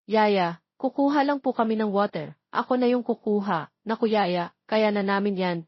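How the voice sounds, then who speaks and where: A woman's voice: medium pitch, moderate pace, no echo, highs cut off, close to an everyday voice. A woman voicing a chatty, eager little girl in a narrated love story.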